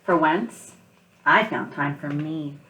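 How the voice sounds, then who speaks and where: A woman voicing a kindly, earnest male egg in an expressive children's storybook read-aloud, with emphatic, deliberate phrasing. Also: deep voice, muffled recording, a little hiss or hum, heavily acted. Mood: relieved.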